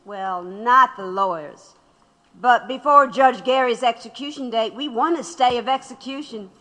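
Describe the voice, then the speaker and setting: Casting: weary, reflective woman revolutionary, radio play; sharp, highish voice, long reverb. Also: measured pace. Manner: reflective, rueful. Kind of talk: audio drama acting.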